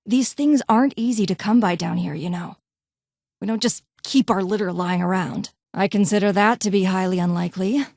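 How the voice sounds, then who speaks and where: A woman playing a curt vault doctor and scientist from a video game. She is haughty and impatient, phrasing things formally in a clipped, condescending way. The voice sits at a middle pitch in a very dry space. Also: normal speed, gravelly, a little mellow, no room sound. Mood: dismissive. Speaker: voice actor in a game mod. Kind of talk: scripted game character dialogue.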